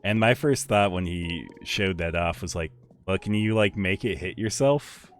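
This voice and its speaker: A young man with a deep voice chatting casually with viewers while he plays a game on a live stream, rambling in a relaxed way. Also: moderate pace. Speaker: livestreamer. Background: faint game music.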